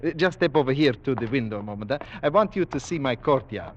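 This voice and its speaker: An actor playing a courtly, menacing aristocratic general who hunts men for sport, in 1940s radio drama style. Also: male voice, medium pitch, lively intonation, fast, slight background noise, natural voice. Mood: genteel menace. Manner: courtly, menacing politeness with soft chuckles. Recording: dull vintage 1940s radio recording.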